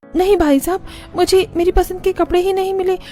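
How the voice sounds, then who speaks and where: A woman's voice: very high voice, level tone, fairly quick, mellow. A woman playing a pleading, childlike young wife in a scripted cartoon story, with a whiny manner. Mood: sad. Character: plaintive young overweight wife, childish and food-loving. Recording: music under the voice.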